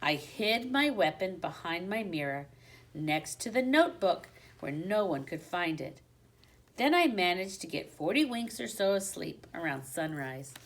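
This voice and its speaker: A woman reading a children's storybook aloud in an expressive, dramatic manner, dropping to a hush for suspense. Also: fairly low pitch, a little slow. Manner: dramatic, with hushed suspenseful drops.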